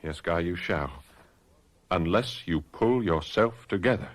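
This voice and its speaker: An actor playing a commanding gentleman doctor and mesmerist in radio drama, deliberate and authoritative. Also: deep voice, expressive. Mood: urgent, stern. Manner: deliberate, authoritative, hypnotic insistence. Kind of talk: 1970s radio drama acting.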